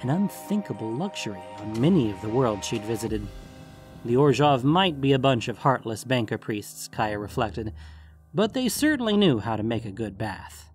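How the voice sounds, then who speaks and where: Guy reading a fantasy audiobook, mid-pitched voice, bit of music underneath. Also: sing-song, moderate pace. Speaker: narrator. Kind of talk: scripted fantasy audiobook narration.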